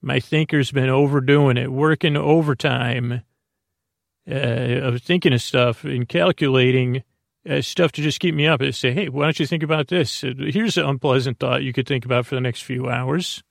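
Gravelly, creaky man rambling along. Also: mid-range voice, fairly even intonation, no echo, studio quality. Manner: halting, digressive, repeats and trails off. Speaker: host of a bedtime sleep podcast. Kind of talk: rambling, meandering monologue.

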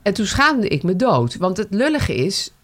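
A woman's voice: medium pitch, big pitch swings, moderate pace. A woman talking casually as a podcast co-host, emphatic about her opinions. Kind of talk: casual conversational chat.

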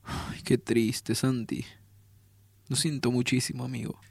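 A sympathetic male friend in an audio drama. His middle-pitched voice has a Rioplatense accent. He talks informally and expressively, with curiosity, in a very dry recording. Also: flat delivery, a little slow, gravelly, darkish tone, breathy edge, no room sound. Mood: saddened, consoling. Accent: Rioplatense Argentine. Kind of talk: naturalistic audio drama dialogue.

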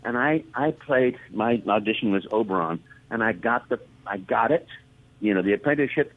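A man, a voice actor, telling stories as an interview guest with warm enthusiasm and excited repetitions. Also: lowish voice, moderate pace. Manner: warm, enthusiastic, repeats words when excited. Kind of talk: storytelling interview talk. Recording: dry, thin phone-like line.

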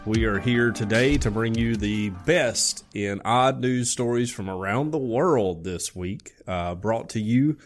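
A man's voice: lowish voice, a little slow, few pauses, faint music behind. Easygoing man with a slight head cold. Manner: easygoing, rambling, laughs often. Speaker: podcast co-host. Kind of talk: casual chat.

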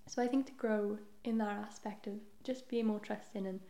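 Young woman for a guest spot: warm, slightly fried voice, casual and chatty, in a roomy space. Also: mid-range voice, fairly even intonation, moderate pace, creaky. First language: Welsh. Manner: chatty, self-deprecating, often trails into soft asides. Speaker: podcast guest. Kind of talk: casual chat. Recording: roomy echo.

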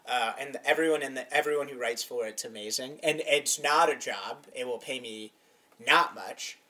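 A man's voice: medium pitch, pushed and bright, distant mic. A man in his mid-twenties co-hosting a podcast, easygoing and agreeable in casual chat. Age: 20s. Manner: easygoing, agreeable, quick to say yeah. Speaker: podcast co-host.

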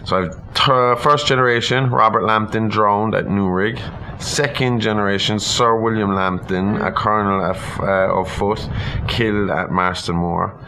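Male co-host, low register, informal and irreverent storyteller, slightly noisy recording. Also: steady tone, a little slow, bright, forceful tone. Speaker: podcast co-host. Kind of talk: reading a legend aloud with casual commentary.

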